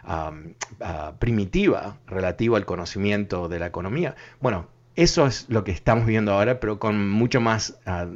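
Un hombre que conduce un programa de radio con llamadas del público. Habla pausado y reflexivo, con muchas muletillas. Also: male voice, lowish voice, muffled recording. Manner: thoughtful, many fillers and restarts. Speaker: radio talk show host. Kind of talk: live call-in radio talk.

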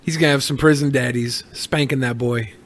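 A man co-hosting a show in casual, profane comedic chat, joking crudely and excitably. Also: mid-range voice, a little fast. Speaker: show co-host.

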